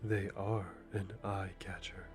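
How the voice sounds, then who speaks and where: A man playing a calm, methodical hitman, musing to himself softly and deliberately with dry amusement in an ASMR roleplay. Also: deep voice. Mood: calm, calculating. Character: calm, methodical male hitman planning a kill. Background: music.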